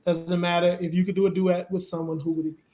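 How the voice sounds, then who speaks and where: A male host with a high voice, recorded in an echoey room that rings around his words. He speaks easygoing and affirming, quick to agree and keep the chat moving. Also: little pitch movement, over music. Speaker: co-host of a web interview show. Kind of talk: casual interview hosting.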